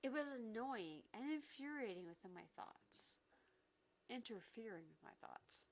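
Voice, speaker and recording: A woman's voice: on the high side, animated, measured pace. A woman reading a visual novel aloud as an amateur let's-play host, stumbling now and then and dropping into casual, sweary commentary.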